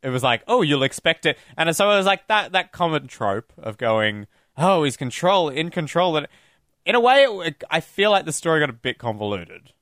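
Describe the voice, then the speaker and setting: A man's voice: on the high side, sing-song, normal speed, fairly bright tone, no echo, studio quality. A man co-hosting a film podcast, talking casually in a rambling, jokey way and swearing now and then. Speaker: podcast co-host.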